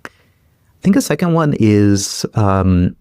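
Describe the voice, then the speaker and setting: A man's voice: on the low side, big pitch swings, darkish tone, clear, resonant tone, no echo, studio quality. A man in his thirties, originally from Ukraine, speaking as an interview guest in casual chat, with lots of fillers and restarts. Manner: quick, many fillers and repeated restarts.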